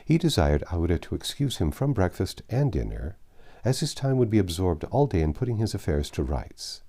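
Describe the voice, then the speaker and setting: A man narrating a classic novel in an expressive, measured style with dramatic pauses. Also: sits low. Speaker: audiobook narrator. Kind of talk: expressive literary storybook narration.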